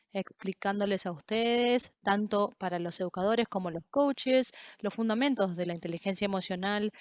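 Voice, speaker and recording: A woman interpreting a lecture, speaking in a measured, explanatory way with occasional fillers. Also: mid-range voice, no echo, muffled recording. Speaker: simultaneous interpreter.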